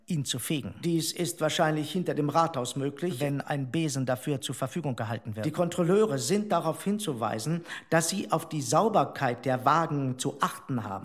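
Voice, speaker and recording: A man's voice: mid-range voice, natural voice. A man playing a pedantic, officious Nazi-era mayor reading out a formal letter in a documentary radio play.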